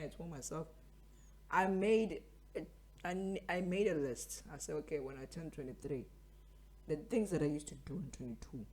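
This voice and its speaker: A man's voice: on the high side, a little mellow, breathy edge. A man of twenty-three, hosting a podcast as a casual motivational monologue and repeating phrases for emphasis. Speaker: podcast host.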